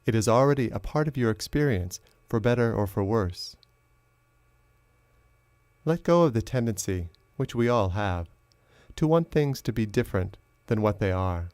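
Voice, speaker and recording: A man narrating a guided meditation as a teacher, in a slow, measured, instructional way with long pauses. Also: on the low side, lively intonation, a little mellow, clean tone, no echo.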